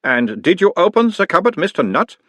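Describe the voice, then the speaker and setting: A man putting on a high, mild Uberwaldian analyst. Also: very animated, brisk, fairly bright tone, no room sound. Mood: coaxing. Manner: mild, coaxing questioner. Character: orc playing his own analyst, calm, probing.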